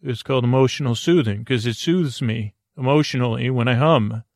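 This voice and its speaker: A man hosting a bedtime podcast, rambling softly off on tangents in lulling, creaky, dulcet tones. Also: medium pitch, a little fast, no room sound, studio quality. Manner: meandering, tangent-prone, self-interrupting, drowsy and soft. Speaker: podcast host.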